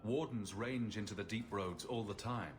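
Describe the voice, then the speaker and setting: An actor playing a probing, businesslike champion with a direct, questioning manner in a fantasy video game. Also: male voice, fairly low pitch, normal speed, close to an everyday voice. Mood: inquisitive. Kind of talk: fantasy game cutscene acting. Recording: noisy ambience behind dialogue.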